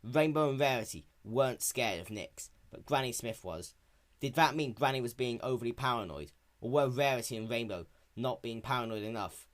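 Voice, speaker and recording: A man's voice: medium pitch, animated, normal speed. A man narrating a scripted story in a dramatic reading style. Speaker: narrator of a fan fiction reading.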